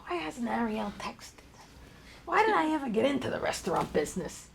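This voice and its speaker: A woman plays an anxious divorced-dad type of bar owner in his fifties, self-pitying and exasperated. The voice is heavily creaky and on the high side, swinging in pitch through a live comedy table read with faint noise behind it. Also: sing-song, normal speed. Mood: whiny, dejected. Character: anxious, lovelorn 57-year-old male bar owner Steve. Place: theater stage, live show. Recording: live stage recording, faint background noise.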